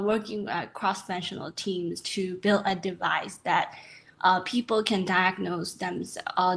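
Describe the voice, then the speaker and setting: A young woman from Myanmar, a scientist answering interview questions in a webinar, pausing often with fillers. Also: lowish voice, fairly bright tone, poor audio. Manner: hesitant, many fillers. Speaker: guest scientist.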